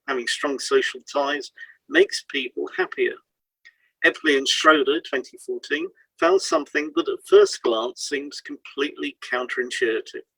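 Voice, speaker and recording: A man's voice: a little high, creaky, a bit bright, slightly rough, dry recording, poor audio. A man reading a scripted informational piece as a podcast host, in an explanatory way.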